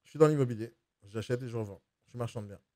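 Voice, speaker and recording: A man's voice: mid-range voice, rapid-fire, mellow, no room sound. A man posing as a buyer on a phone call, pressing hard and repeating himself to get his way. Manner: pushy, insistent, repeats himself. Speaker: mystery shopper posing as buyer.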